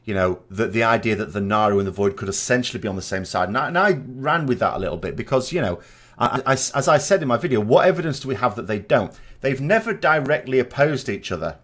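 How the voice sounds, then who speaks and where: A man's voice: medium pitch, animated, fast, fairly bright tone. A man giving informal, speculative commentary as a gaming vodcast host, reasoning aloud as he goes. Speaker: video game lore commentator and vodcast host.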